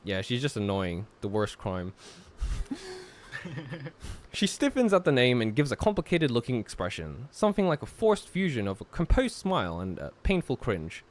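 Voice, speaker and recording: A man streaming, reading a visual novel aloud and commenting casually in an animated, giggly manner. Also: mid-range voice, moderate pace.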